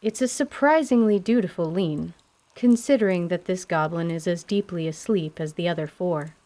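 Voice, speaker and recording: A woman narrating a scripted fantasy tale in a measured, literary radio theatre style. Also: fairly low pitch, warm tone. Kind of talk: scripted descriptive story narration. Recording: faint background noise.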